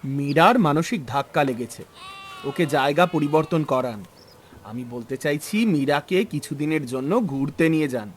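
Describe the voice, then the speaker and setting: A man voicing a calm family doctor in a cartoon, giving advice in a measured, reassuring way. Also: on the high side, a little hiss or hum, lightly acted. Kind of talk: cartoon story dubbing.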